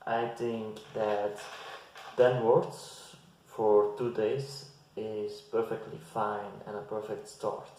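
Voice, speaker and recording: Man, hesitant casual advice, low flat voice. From Belgium. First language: Dutch. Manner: slow, hesitant, with frequent restarts and fillers. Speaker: language-learning vlogger. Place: bare indoor room. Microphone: fixed camera at desk distance. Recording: very echoey bare room.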